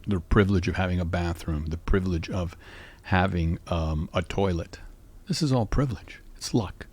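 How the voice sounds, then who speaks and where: A man in his fifties from North Carolina, hosting a rambling solo monologue in a sleepy, calm and even voice. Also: husky. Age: 50s. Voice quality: low, sleepy voice. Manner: calm, musing, even delivery. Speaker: podcast host.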